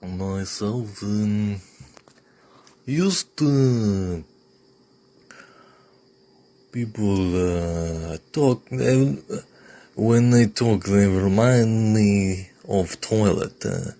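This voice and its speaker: Man doing a gravelly, halting oddball. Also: lowish voice, dark tone. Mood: halting, earnest. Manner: halting, groping for words. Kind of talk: improvised character voice sample.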